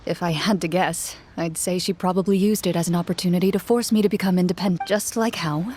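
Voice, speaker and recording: An actress playing a composed, reserved young female duelist in a video game, speaking in a formal, measured way. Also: on the low side, steady tone, a little mellow, slightly rough. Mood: calm, wistful.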